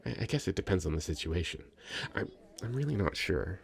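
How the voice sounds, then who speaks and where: A man's voice: low-pitched, animated, brisk, creaky. A man playing a shy, sweet, nervous young nerd in an intimate ASMR roleplay, stammering and rambling self-consciously. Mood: awkward, sweet. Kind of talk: scripted ASMR roleplay, intimate conversational acting.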